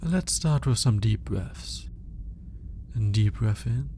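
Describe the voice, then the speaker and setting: A man playing a clinical, curious alien scientist in a soft, intimate ASMR roleplay, measured but grudgingly fascinated. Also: lowish voice, animated, a little hiss or hum. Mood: clinical, detached. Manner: clinical, measured, hesitant when flustered.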